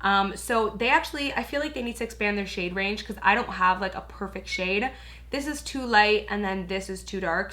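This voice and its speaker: A young woman with a bright, forward tone roughened by hoarseness talks casually through a beauty tutorial. She gushes and chats freely, filling her sentences with little asides to her viewers. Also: mid-range voice. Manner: gushing, chatty, lots of "like" and "you guys". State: raspy, hoarse voice. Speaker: beauty vlogger. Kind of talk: casual makeup tutorial chat.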